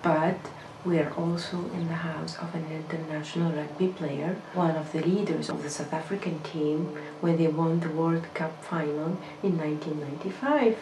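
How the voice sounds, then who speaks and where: A woman's voice: low voice, steady tone, normal speed, reverberant, background noise. A woman, an exchange student, speaking hesitantly to the camera and searching for words. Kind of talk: video diary to camera.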